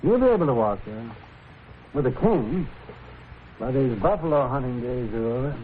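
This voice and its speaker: An American man playing a folksy, frank, thoughtful frontier doctor in a 1950s radio western, with a gravelly voice and halting delivery. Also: medium pitch, animated, lightly acted. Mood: measured, frank. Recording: tinny, faintly noisy old radio recording.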